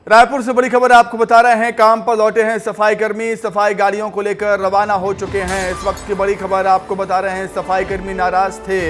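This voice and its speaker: High-pitched male news anchor over music. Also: monotone, fairly quick, hardly any pauses, a bit bright. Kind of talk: television news reading. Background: news music bed.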